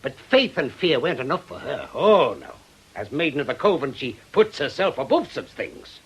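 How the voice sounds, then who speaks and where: An actor playing a stubborn, vengeful older widower in a British radio drama, blunt, scornful and accusing. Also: male voice, mid-range voice, wildly swooping pitch, moderate pace, slightly rough, exaggerated voice. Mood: bitter, accusing.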